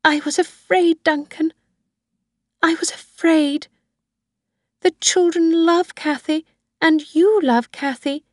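Lady doing a high shrill old granny voice, clingy and possessive, slow, dry audiobook sound. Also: no echo. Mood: pleading. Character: old woman, grandmother, frail and obsessive. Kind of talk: audiobook reading.

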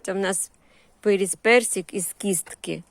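A woman's voice: mid-range voice, unhurried, dry recording. Homely Ukrainian woman, casual garden narration. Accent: Ukrainian with Russian-influenced surzhyk words. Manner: plain homely talk in short phrases with diminutives. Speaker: home gardener giving a garden tour. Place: walking through a vegetable garden and greenhouse.

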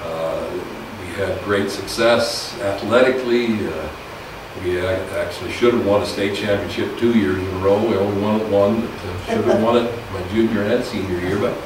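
A man in his sixties, a government teacher, giving a loud, folksy talk to a small audience in a meeting room. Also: on the low side, normal speed. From US (Idaho). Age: 60s. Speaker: guest speaker, government teacher. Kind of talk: informal speech to a small audience. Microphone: podium mic, heard from afar. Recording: echoey room, distant camera mic, hiss.

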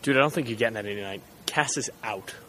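Earnest, excitable teenage boy male actor, sharp forward tone, casual slang, audio drama. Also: fairly low pitch, fast, low-quality recording. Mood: irritated. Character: earnest, easily rattled small-town teenage boy. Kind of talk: audio drama acting and first-person recounting. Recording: faint background noise.

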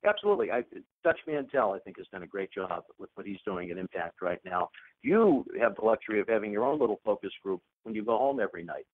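A male sports reporter puts long, wordy questions on a press call. His voice is a little low, and it comes over a narrow phone line. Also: animated, fast.

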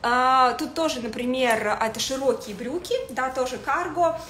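A woman in her thirties giving a casual livestream style lesson as a stylist, speaking haltingly with searching pauses. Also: high voice, a bit bright. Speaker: fashion stylist hosting a livestream. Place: indoors in a home living room with a desk. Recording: echoey room with faint noise.

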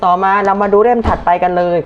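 A man's voice: sits high, fairly even intonation, quick pace, faint music behind. A man giving a casual unboxing review, thinking aloud informally as he handles things, as host of a vlog. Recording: dull lo-fi audio, loud background noise.

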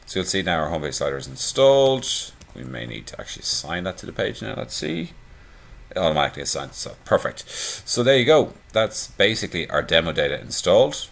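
Male tutorial presenter, extremely low voice, laid-back conversational delivery, voice-over screencast. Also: brisk, creaky. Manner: relaxed, conversational, many fillers. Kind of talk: screencast walkthrough.

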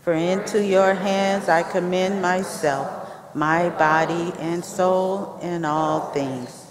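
A woman leading a chapel service, addressing the congregation with deliberate, measured phrasing. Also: lowish voice, fairly even intonation, a crowd in the background. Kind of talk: addressing a congregation, welcome and prayer. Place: large indoor chapel with brick walls. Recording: echoey hall, picked up from the audience.

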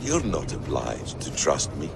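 An actor playing a calm, cryptic man who guides the heroine, low and measured, in a video game cutscene. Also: creaky, very noisy, full character voice. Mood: solemn. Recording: game audio with loud music.

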